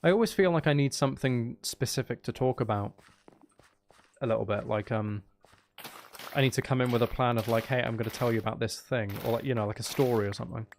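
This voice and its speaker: A man's voice: mid-range voice, fast talker, a little hiss or hum. A man streaming a video game, chatting casually in a rambling, hesitant way as he thinks aloud. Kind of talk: casual live-stream chat while playing.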